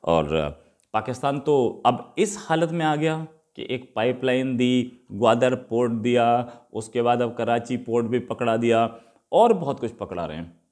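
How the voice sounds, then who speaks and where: A man's voice: medium pitch, unhurried, a little mellow. A man with an Indian accent giving unscripted, opinionated news commentary as a host, in an argumentative way full of rhetorical questions. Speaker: host commenting on current affairs.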